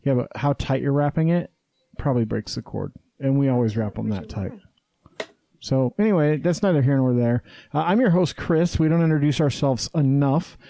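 A man hosting a casual podcast, talking in a rambling, halting way with lots of fillers and restarts. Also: mid-range voice, creaky, mellow. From Utah. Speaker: podcast host. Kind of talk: casual chat. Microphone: studio headset mic.

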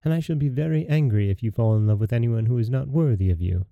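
A man's voice: medium pitch, fast talker, vocal fry, no room sound, narrow, tinny audio, close to an everyday voice. A man doing a fretful, pleading queen. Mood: scolding. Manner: pleading, worried. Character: anxious fretful queen mother.

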